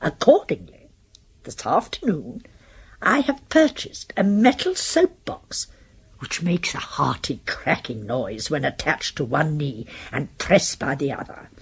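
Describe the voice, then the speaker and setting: An actress playing a genteel, crafty British spinster sleuth in a radio drama, with fussy, precise and effusive diction. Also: female voice, low-pitched, slightly rough, full character voice.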